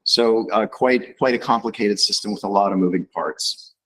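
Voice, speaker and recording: A man's voice: on the low side, normal speed, fairly bright tone, slight background noise, poor audio. A man with a head cold giving a conversational conference talk as a reviewer, with a wry, informal manner. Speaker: invited reviewer at a workshop.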